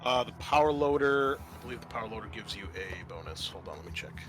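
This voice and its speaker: A man's voice: lowish voice, expressive, fast, a bit bright, slight background noise. A man acting as game master, giving rulings and narration in a tabletop roleplaying game.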